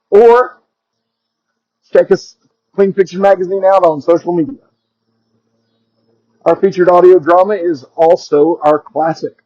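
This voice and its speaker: A man with a high voice introduces a podcast and reads out announcements as its host. The recording is dry and slightly dull, with little room around him. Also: a little mellow, no echo.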